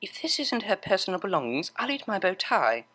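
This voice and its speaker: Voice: mid-range voice, very animated, brisk, bright, forceful tone, pitched above the performer's own voice. A performer voicing a refined young female pony cellist from a cartoon fan story, prim, exasperated and teasing. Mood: sly curiosity.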